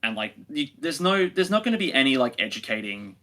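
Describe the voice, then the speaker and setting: A man with a bright, forward voice at middling pitch. He talks casually as a podcast co-host, pushing his opinions with emphatic phrasing. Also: sing-song, fairly quick. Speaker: podcast co-host reviewing audio dramas.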